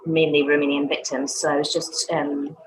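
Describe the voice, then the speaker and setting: A woman with a low, even voice politely asks questions. Clear background noise sits behind her audio, and she fills her pauses with "um". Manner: polite, many "um" fillers. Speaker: charity volunteer. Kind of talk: asking questions in a discussion. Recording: noisy background.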